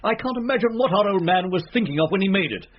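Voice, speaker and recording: Elderly ghost, British, proud and pompous murderer, highly animated delivery, comic radio drama, slightly noisy lo-fi sound. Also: male voice, fast talker. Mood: grumbling. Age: older. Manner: pompous, boastful, old-fashioned British turn of phrase. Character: elderly male ghost, proud unrepentant murderer, grumbling yet courteous. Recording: muffled lo-fi audio with faint noise.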